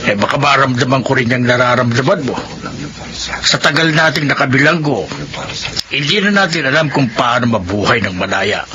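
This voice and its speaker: An actor playing a sympathetic, encouraging Filipino prisoner in a radio drama. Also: male voice, mid-range voice, normal speed, lightly acted. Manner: expressive, encouraging. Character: chatty, sympathetic male cellmate awaiting parole. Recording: dull lo-fi radio drama, faint noise.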